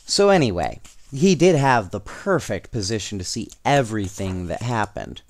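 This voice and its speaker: A man reading a children's storybook aloud in an expressive, unhurried way, with casual asides. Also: lowish voice. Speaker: storybook reader.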